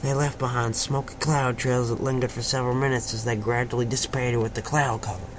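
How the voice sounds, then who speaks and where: A man's voice: mid-range voice, little pitch movement, a little fast. A man narrating a story aloud in an informal, amateur way, sometimes stumbling over words. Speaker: fan fiction reader. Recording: clearly noisy amateur home recording.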